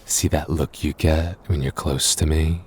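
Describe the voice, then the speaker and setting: A man's voice: very deep voice, steady tone, unhurried, darkish tone, a little hiss or hum. A man playing a possessive, affectionate boyfriend in an intimate, teasing roleplay audio. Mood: tender, possessive.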